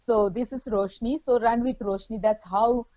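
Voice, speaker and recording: A woman's voice: fairly high pitch, steady tone, normal speed, dry recording, muffled recording. A middle-aged woman from the Darjeeling hills, a Nepali native speaker, answering interview questions in a hesitant way with many fillers. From India, Darjeeling and Kalimpong hills. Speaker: guest, runner and lawyer.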